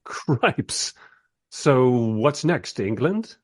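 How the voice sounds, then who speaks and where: A man's voice: medium pitch, sing-song, very slow, darkish tone, heavily acted. An actor playing a wry, skeptical man who narrates his own adventure in a radio play, with a dry storytelling manner. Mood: skeptical, curious.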